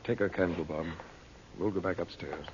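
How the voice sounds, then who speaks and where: An actor playing a commanding, kindly magician hero in a vintage radio drama, earnest and clipped in delivery. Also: male voice, low-pitched, fairly even intonation, moderate pace, natural voice. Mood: grave, gentle. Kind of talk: 1940s radio drama acting. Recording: muffled, noisy old radio recording.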